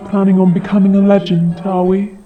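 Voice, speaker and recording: A man's voice: high voice, little pitch movement, fairly quick, highs cut off, lightly acted. A man voicing a haughty, sardonic dragon in an audio roleplay, with a taunting, theatrical delivery. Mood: mocking. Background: fantasy game-style music.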